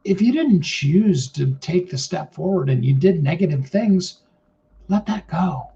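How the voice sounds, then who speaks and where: A middle-aged man with a naturally high voice giving an earnest, rambling motivational talk with many fillers and restarts. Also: lively intonation, a little slow, creaky, mellow, muffled recording. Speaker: motivational speaker.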